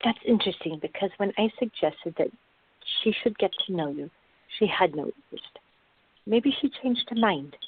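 A narrow, phone-like sound carries an actress as a calm woman with a slightly husky voice. She is measured and placating, gently firm as she explains things in a radio drama. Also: mid-range voice. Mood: knowing, patient. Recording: tinny, band-limited, echoey room.

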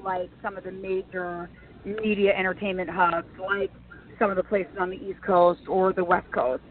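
A woman's voice: medium pitch, normal speed, slight background noise. Talkative tv lady guest, restarts a lot, thin phone-line audio. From Minnesota. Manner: chatty and animated, frequent restarts. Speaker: guest, TV and news personality. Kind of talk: casual interview answers.

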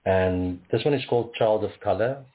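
Deep-voiced poet, tinny line. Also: male voice. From South Africa. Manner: measured, rhythmic recitation with pauses. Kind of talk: poetry reading. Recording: tinny phone-like audio.

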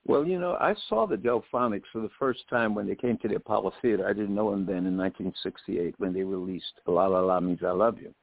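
A man's voice: on the low side, brisk, vocal fry, hardly any pauses, no echo. A man in his seventies from Jamaica, a singer, telling anecdotes as a guest in a radio interview. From Jamaica, later the United States. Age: 70s. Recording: phone-like, thin radio call.

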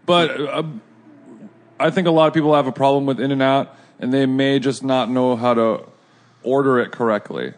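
Relaxed male podcast host. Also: medium pitch, moderate pace. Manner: relaxed, steers the conversation with questions. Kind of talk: casual podcast chat.

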